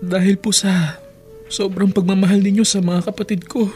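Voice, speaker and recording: A man's voice: high voice, little pitch movement, moderate pace, a little mellow, soft background music, lightly acted. A man playing a dutiful eldest son who pleads earnestly with his father in an emotive radio drama. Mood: anguished.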